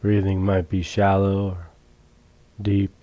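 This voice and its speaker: A man teaching guided meditation in a calm, measured way, leaving long pauses between phrases. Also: low-pitched, no room sound. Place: retreat center meditation hall.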